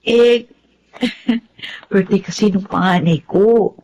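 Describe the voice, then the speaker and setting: An actress playing a pleading, touchy older sister in a Filipino radio drama. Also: female voice, mid-range voice, slow, a little mellow, slight background noise, low-quality recording. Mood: wheedling.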